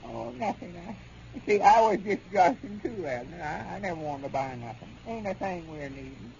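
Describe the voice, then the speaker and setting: An actor playing a feisty, needling old grandpappy with a folksy rural Arkansas drawl in a 1930s radio comedy. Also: male voice, sits high, lively intonation, moderate pace, vocal fry, darkish tone. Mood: joshing, evasive. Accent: rural Arkansas, Southern US. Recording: muffled, hissy 1930s radio recording.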